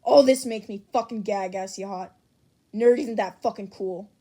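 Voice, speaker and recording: Woman voicing an explosive, loud teenage boy. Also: medium pitch, measured pace, close to an everyday voice. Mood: furious. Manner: aggressive, curt, swearing. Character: foul-mouthed, explosive, irritable teenage boy hero.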